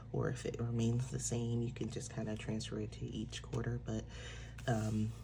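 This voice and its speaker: A woman walking through the pages of her own planner in a relaxed, casual way. She sounds a little nasal from congestion and fills her explanations with frequent ums. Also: rumbling low, vocal fry, background noise. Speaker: planner shop owner. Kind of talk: casual product walkthrough.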